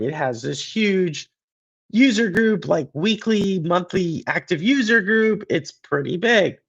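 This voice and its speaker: Hoarse, gravelly man with a sore throat. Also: fairly bright tone, lo-fi sound. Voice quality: deeper than usual. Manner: rambling, conversational, with many fillers and restarts. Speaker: podcast host and streamer. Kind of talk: casual gaming and business commentary.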